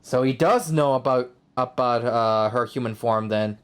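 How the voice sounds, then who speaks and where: A man's voice: medium pitch, measured pace, a bit bright, clean tone. A young man giving casual, slangy, reactive commentary on a video game he is playing. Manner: laid-back, reactive, slangy. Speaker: gaming video host. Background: game music.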